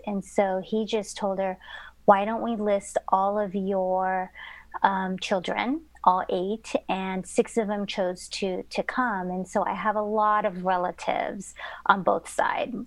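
A woman's voice: mid-range voice, no echo, poor audio. A middle-aged woman from Indonesia, raised in Southern California, telling her family story as a podcast guest, with many fillers and restarts. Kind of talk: casual interview conversation.

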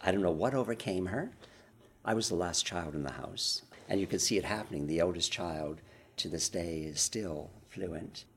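A man's voice: deep voice, moderate pace, slight background noise. An older man with Romanian as his first language, talking with another writer in a thoughtful way, with restarts and chuckles. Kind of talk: conversation between writers.

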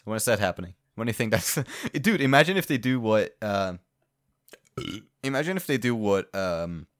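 A man's voice: on the low side, fairly bright tone, dry recording, studio quality. A young man from Sweden with a Swedish accent, co-hosting a podcast in rambling, joking casual chat and swearing freely. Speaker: podcast co-host.

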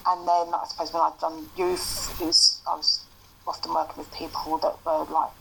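Male interviewee, calm, gravelly and bright tone, faint noise behind. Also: a little high, moderate pace, low-quality recording. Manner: calm, hesitant, many fillers. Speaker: advocate. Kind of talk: casual interview answers.

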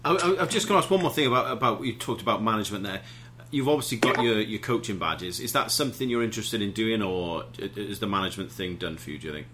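A man with a fairly low but bright voice puts casual questions as an interviewer. His pitch moves around a lot, and his phrasing is hesitant. There is faint noise behind him. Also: expressive, quick pace.

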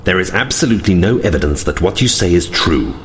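An actor playing a proud, skeptical male fallen angel in a fantasy audio drama, with measured, formal speech. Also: sits low, expressive, slight background noise. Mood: skeptical, scornful.

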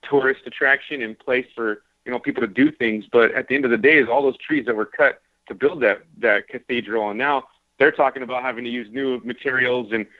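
A man's voice: medium pitch, fairly even intonation, a little fast, no room sound. A man hosting a casual radio talk show, talking in earnest, rambling runs. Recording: tinny phone-like radio audio, dry.

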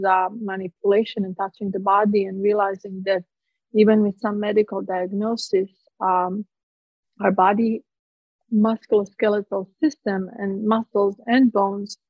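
A woman's voice: mid-range voice, no echo. A woman in her forties from Croatia with a Croatian accent, a physician earnestly explaining her approach in an interview, with frequent fillers and restarts. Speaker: physician guest. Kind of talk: conversational interview answers. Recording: dull, dry, slightly lo-fi sound.

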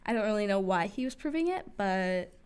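A woman's voice: medium pitch, animated, brisk, a little mellow, smooth. A young woman co-hosting a casual talk podcast, chatty and full of fillers like "like", giggling easily. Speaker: podcast co-host. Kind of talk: casual conversational chat.

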